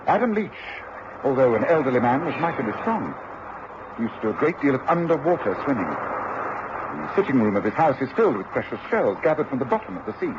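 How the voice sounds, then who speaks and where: Man as an assured, precise detective for radio drama; animated intonation, dulled old recording. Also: mid-range voice, quick pace, highs cut off, background noise, lightly acted. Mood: explaining, intent. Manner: authoritative, didactic, precise.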